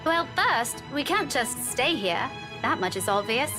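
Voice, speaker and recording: A frightened young woman in a visual novel. Her voice is extremely high and swings widely as she lays blame in an agitated, emphatic way. Music plays clearly behind her. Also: sing-song, a little slow. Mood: tense. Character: frightened, accusing young woman in a mystery game. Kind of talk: dramatic visual novel voice acting. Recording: music under the voice.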